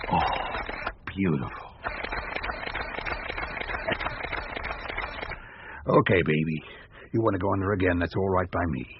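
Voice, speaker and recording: Gruff, low-voiced folksy American photographer. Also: male voice. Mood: coaxing, relaxed. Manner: folksy, drawling, cussing exclamations. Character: gruff, folksy, cynical American male photographer, excitable and greedy. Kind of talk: radio drama dialogue. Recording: dull lo-fi audio, light noise.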